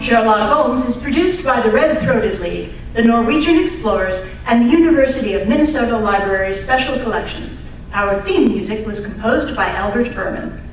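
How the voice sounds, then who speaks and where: A woman plays a polite old-time radio hostess with a polished, formal announcing style. Her voice is fairly high. It carries from a distance through a very echoey hall with faint noise. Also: lively intonation, moderate pace, soft background music. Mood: polite, presenting. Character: Miss Harris, bright, polite radio program hostess. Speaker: announcer, host.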